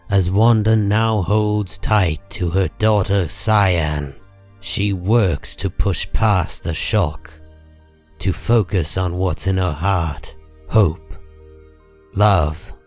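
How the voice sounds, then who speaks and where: A man narrating a comic book drama from a script in a grave, deliberate manner. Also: sits low, a little mellow.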